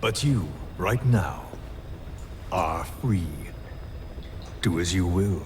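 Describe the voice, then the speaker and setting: An actor playing a cold, scheming male commander who interrogates slowly and menacingly in a low voice. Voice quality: low, slow, gravelly menace. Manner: measured, brooding, interrogating. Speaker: villain interrogator. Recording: game cutscene with background noise.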